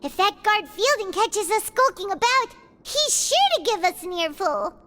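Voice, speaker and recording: A woman voicing a tiny, excitable childlike fairy companion in an animated video game, squeaky, chirpy and talkative. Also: normal speed, very noisy. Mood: excited. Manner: chirpy, refers to self in third person.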